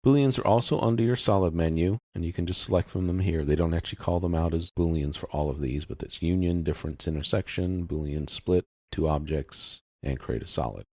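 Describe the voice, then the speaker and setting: A man narrating a step-by-step software tutorial as an instructor, explaining in an informal, unscripted way. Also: sits low, fairly quick, hardly any pauses, no room sound, clean studio sound.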